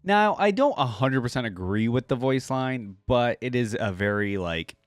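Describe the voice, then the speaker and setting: A man's voice: mid-range voice, expressive, moderate pace, no room sound. Man, dry close-up reaction commentary. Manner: rambling, conversational, often adds "like" and "right?". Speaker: reaction video host. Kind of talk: casual unscripted reaction commentary.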